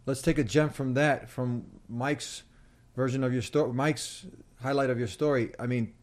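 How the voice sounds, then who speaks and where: A man's voice: medium pitch, steady tone, normal speed. A man interviewing a guest in a conversational way, reacting emphatically with exclamations.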